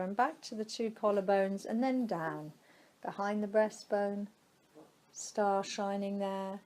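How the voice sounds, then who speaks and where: A woman leads a guided relaxation meditation at a very slow pace. Her delivery is soothing, with long pauses left between short phrases. The pitch sits in the middle range for a woman. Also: a little mellow. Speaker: yoga nidra guide.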